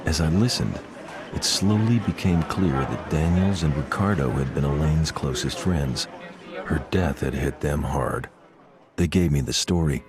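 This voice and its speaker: An actor playing a wary, world-weary pilot, narrating a game story in terse, brooding, deadpan noir style. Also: male voice, a bass voice, a little slow, crowd noise. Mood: uneasy. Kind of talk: scripted first-person noir narration. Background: loud music.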